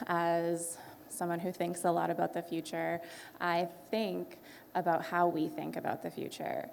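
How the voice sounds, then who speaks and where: Woman, Canadian accent, panelist, gravelly fry, fairly even pitch. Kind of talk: prepared panel remarks. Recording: faint background noise.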